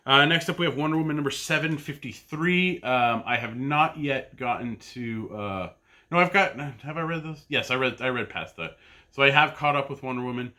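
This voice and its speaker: Bright-voiced man chatting about comics. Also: medium pitch, lively intonation, moderate pace. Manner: rambling and chatty, with many fillers and restarts. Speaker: comic book podcast host. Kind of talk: casual review of a weekly comic pull list. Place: home basement.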